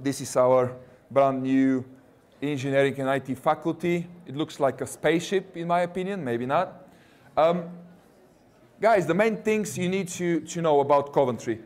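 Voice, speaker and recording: A man's voice: fairly high pitch, moderate pace, a little mellow. A man in his thirties with a Russian accent, a university recruiter giving a jokey, chatty presentation to students and quizzing the audience. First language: not English.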